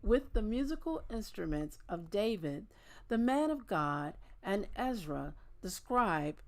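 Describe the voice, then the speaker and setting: A woman hosting a Bible reading, speaking in a measured, deliberate manner as she reads aloud and talks informally to listeners. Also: mid-range voice, sing-song, rough recording. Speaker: host of a daily Bible reading. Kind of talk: reading scripture aloud with casual asides.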